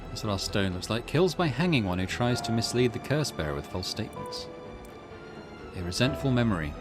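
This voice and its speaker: A man hosting a gaming video, reading a visual novel aloud and commenting in an expressive storytelling manner. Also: on the low side. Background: music.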